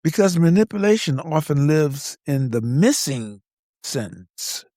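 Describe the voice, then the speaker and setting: A man's voice: fairly high pitch, very animated, a little slow, mellow, dry recording, clean studio sound. A man co-hosting a podcast, giving scripted, conversational commentary in short, wry statements. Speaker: podcast co-host.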